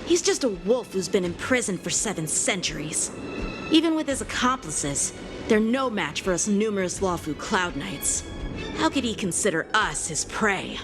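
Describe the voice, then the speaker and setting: A woman's voice: mid-range voice, big pitch swings, moderate pace, lightly acted. An actress voicing an earnest, questioning young woman soldier serving under her general. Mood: incredulous. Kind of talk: game cutscene voice acting. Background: game music.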